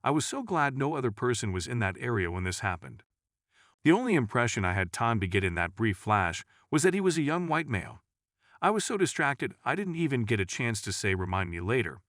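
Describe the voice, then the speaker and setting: A man's voice: mid-range voice, sing-song, brisk, a bit bright, no echo, studio quality. A man reading a scripted first-person paranormal story as a narrator.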